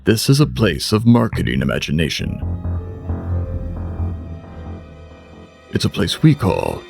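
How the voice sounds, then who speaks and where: Eerie anthology narrator: low, widely swooping delivery, theatrical, portentous, sly wit. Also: male voice, wildly swooping pitch, brisk, creaky, a little mellow, soft background music, exaggerated voice. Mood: ominous. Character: ominous, wry male narrator of an uncanny anthology tale. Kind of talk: scripted narration in vintage eerie TV anthology manner.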